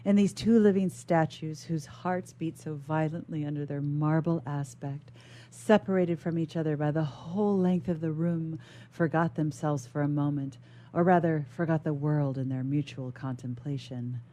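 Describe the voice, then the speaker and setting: Low, mellow woman reading a classic novel. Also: fairly even intonation, moderate pace. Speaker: guest reader on a radio show.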